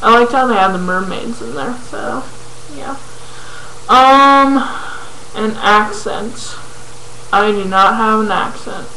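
Young woman vlogger from California, slow halting webcam chat, light echo. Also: medium pitch, lively intonation, sharp tone, slight background noise. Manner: hesitant, many ums, halting when reading aloud. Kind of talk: casual chat answering a questionnaire. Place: small bedroom indoors. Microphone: webcam at close range.

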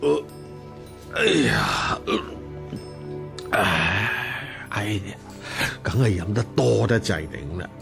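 A man's voice: fairly low pitch, animated, vocal fry, music in the background, exaggerated voice. An actor playing a wealthy, lustful and imperious household master in a Chinese period radio drama, sighing and drawing out his words. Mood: drunk, demanding. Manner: sighing, drawn-out delivery.

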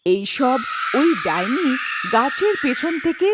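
Woman narrating a cartoon tale, high lively voice, music behind her. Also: slow, very noisy. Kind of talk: scripted cartoon story narration.

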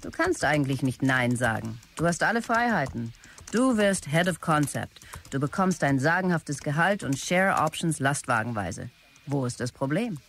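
A woman playing a brisk, businesslike start-up executive dictating messages in a German audio drama. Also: deep voice, big pitch swings, a bit bright, a little hiss or hum, heavily acted. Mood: persuasive.

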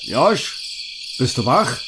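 A man's voice: mid-range voice, sing-song, takes it slowly, heavy background noise. A man playing a jittery, talkative camper in a self-aware horror-comedy audio play. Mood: uneasy. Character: jittery, talkative male camper and flustered story writer. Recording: music behind the voice.

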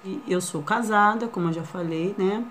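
A woman's voice: medium pitch, moderate pace, a bit bright, a little hiss or hum. A woman in her thirties with a Rio de Janeiro accent, introducing herself in a hesitant, shy way, with many fillers and tag questions. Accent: Rio de Janeiro Portuguese. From Brazil. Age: 30s. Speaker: vlogger. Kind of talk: casual first-vlog introduction. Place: small living room indoors.

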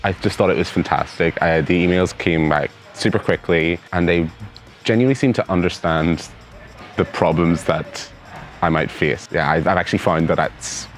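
Young man, Northern Irish accent, deep voice, eager, faint music under him. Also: normal speed. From Northern Ireland. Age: young adult. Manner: enthusiastic, repeats words. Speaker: exchange student. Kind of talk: casual interview answers. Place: university courtyard outdoors. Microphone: lapel.

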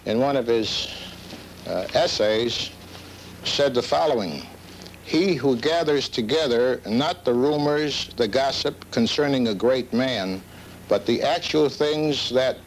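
A man in his sixties giving a formal interview, deliberate and halting, with careful wording. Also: mid-range voice. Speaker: labor lawyer and collector being interviewed. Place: book-lined office, indoors. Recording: slightly noisy old videotape.

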